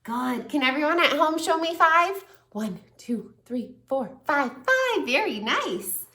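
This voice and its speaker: A woman teacher reading a picture book aloud to a small child, lively and encouraging. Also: sits high, takes it slowly, echoey room. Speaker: preschool teacher. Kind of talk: children's read-aloud lesson.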